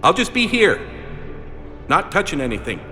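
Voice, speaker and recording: An overeager sci-fi game fanboy with a bright voice that bounces all over. He is bumbling and apologetic, hesitating as he gets flustered, with music playing behind him. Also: a little high, sing-song, moderate pace. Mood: flustered, helpful. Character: eager, bumbling, apologetic male fan of a space commander. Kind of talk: video game voice acting.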